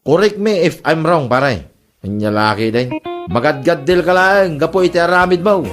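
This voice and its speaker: A man's voice: on the high side, animated, moderate pace, music in the background, lightly acted. A man with an Ilocano accent playing a teasing buddy who chides his friend in a comedy radio drama. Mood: teasing advice.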